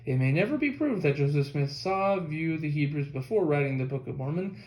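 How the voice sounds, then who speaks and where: A man's voice: a little high, lively intonation, a little fast, darkish tone. A man reading a nonfiction history book aloud as scripted audiobook narration. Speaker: audiobook reader. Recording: echoey room.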